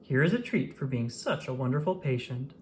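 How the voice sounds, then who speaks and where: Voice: mid-range voice, animated, fairly quick, darkish tone, slight background noise, natural voice. A storybook reader voicing a kind, cheerful woman doctor in a friendly, reassuring manner.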